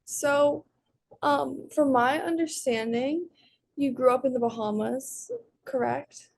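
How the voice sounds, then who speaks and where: A woman's voice: high voice, slow pace, vocal fry, a little mellow. A teenage girl interviewing a guest, asking questions in a hesitant way with many ums and trailing phrases. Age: teens. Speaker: student interviewer.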